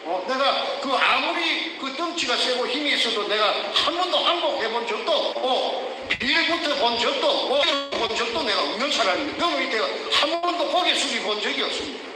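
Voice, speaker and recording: A man's voice: very high voice, lively intonation, moderate pace, few pauses. Older man, heated Gyeongsang accent. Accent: Gyeongsang Korean. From South Korea. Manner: heated and emphatic, repeatedly shouting rhetorical questions. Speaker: whistleblower. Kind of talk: emotional testimony. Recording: echoey distant room with noise.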